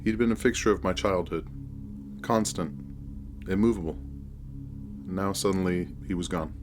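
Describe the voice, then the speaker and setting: A man narrating a scripted first-person horror story in a measured, deliberate storytelling manner. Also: low voice, over music.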